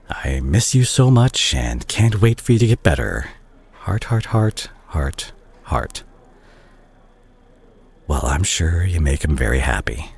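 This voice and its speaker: An actor playing a remorseful, caring doctor and ex-boyfriend in an intimate audio roleplay, speaking to the listener in a halting, confessional way. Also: male voice, very deep voice, creaky, a little mellow, slightly rough, exaggerated voice. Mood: bitter, regretful.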